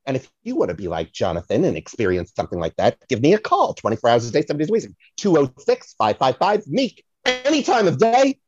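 A woman's voice: very deep voice, big pitch swings, quick pace, hardly any pauses, darkish tone, slightly rough, full character voice. A woman playing a brash, foul-mouthed, rambling celebrity psychic in a comedic podcast audio play. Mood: breathless, awed. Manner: theatrical, digressive, addressing the host by name. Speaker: guest playing a comic psychic. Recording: dull lo-fi dry audio.

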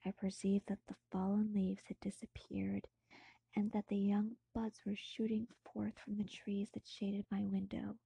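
A woman reading aloud and chatting as an audiobook podcaster, with an expressive manner. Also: mid-range voice, unhurried, vocal fry, husky, dry recording, rough recording. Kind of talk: literary narration and casual chatty asides.

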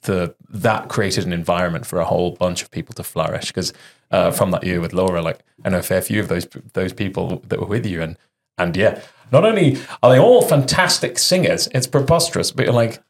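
Deep-voiced man hosting a chat. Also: fairly quick. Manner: reflective, long rambling thoughts. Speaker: podcast host. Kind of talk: casual interview chat.

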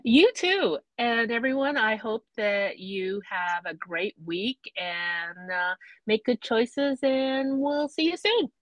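A woman's voice: on the high side, lively intonation, takes it slowly, dry recording, low-quality recording. A woman co-hosting a podcast in casual chat, hesitant, with many ums and trailing sentences. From Canada. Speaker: podcast co-host.

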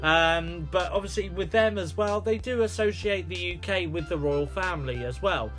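A man's voice: high voice, normal speed, fairly bright tone, soft background music. A British man hosting a solo podcast, rambling with many fillers and restarts. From United Kingdom. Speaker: podcast host.

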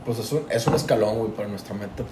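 A man's voice: fairly low pitch, quick pace. A young man from Tampico with a Mexican Spanish accent, co-hosting a podcast in casual, profane chat full of slang. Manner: slangy, profane, says "güey" constantly. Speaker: podcast co-host. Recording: echoey room with clear background noise.

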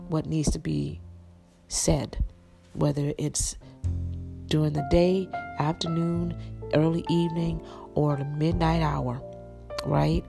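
A woman with a raspy voice, preaching an encouraging sermon and praying with an earnest, repetitive cadence. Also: low-pitched. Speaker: podcast minister. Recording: music playing behind the voice.

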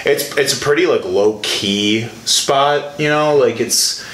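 A man's voice: medium pitch, slow pace, a bit bright. A man, chatting casually as host, rambling with lots of fillers and restarts. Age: 20s. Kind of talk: casual chat. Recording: echoey room with faint noise.

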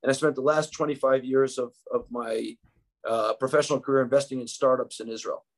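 A man's voice: medium pitch, steady tone, fairly quick. A man in his fifties from New York, with a New York accent, a podcast guest telling his life story in a reflective, conversational way with frequent restarts. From United States.

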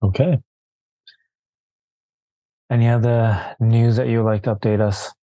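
A man's voice: mid-range voice, fairly even intonation, measured pace, warm tone, no room sound. A man co-hosting a podcast in casual chat, asking questions with brief interjections. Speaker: podcast co-host.

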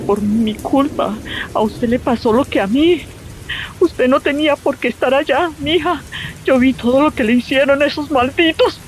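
A woman playing a frightened middle-aged Colombian mother in an audio drama, pleading with hushed urgency. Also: high-pitched, moderate pace, close to an everyday voice, low-quality recording. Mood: remorseful. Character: frightened, pleading mother guarding a traumatic secret. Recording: rain noise over the voice.

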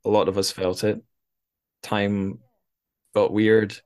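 A man's voice: fairly low pitch, flat delivery, slow, darkish tone, clean tone. A man hosting a casual book conversation, speaking informally and piecing his thoughts together as he goes. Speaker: podcast host. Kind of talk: casual conversational literary discussion.

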